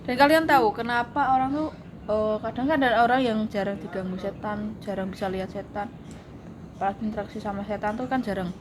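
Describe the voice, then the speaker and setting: A woman's voice: mid-range voice, steady tone, fairly quick. Woman, jokey storyteller, noisy room recording. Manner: chatty, jokes around between spooky anecdotes. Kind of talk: casual storytelling chat.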